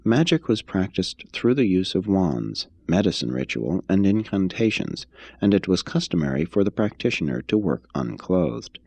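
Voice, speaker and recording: Very deep, gravelly male narrator. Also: big pitch swings, normal speed, a little mellow, no room sound. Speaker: reader, narrator. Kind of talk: scripted audiobook-style reading of an expository text.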